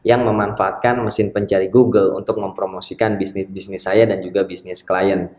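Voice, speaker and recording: A man's voice: sits low, little pitch movement, normal speed, darkish tone. A man who works as an SEO marketer, reading a news article aloud and adding casual comments with frequent tag words. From Indonesia. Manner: reads passages, then comments with frequent "ya" and "nih" tags. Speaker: SEO marketer and channel host. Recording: echoey room.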